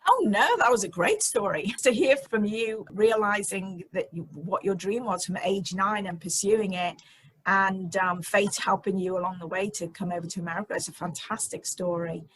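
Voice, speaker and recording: Husky-voiced woman hosting an interview. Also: mid-range voice, fairly even intonation, normal speed, a bit bright, poor audio. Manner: enthusiastic, encouraging. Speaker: interview host. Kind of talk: interview questions.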